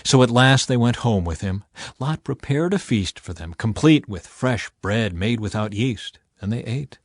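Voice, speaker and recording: A man's voice: medium pitch, animated, unhurried, no room sound, clean studio sound. A man narrating a scripted audiobook expressively as a storyteller.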